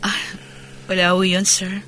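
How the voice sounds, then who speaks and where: A woman's voice: lowish voice, monotone, unhurried, pushed and bright, slight background noise, low-quality recording. Composed, dutiful widowed mother. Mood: polite, guarded. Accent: Filipino. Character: restrained widowed mother, dutiful, stoic middle-aged woman. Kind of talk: Filipino radio drama acting.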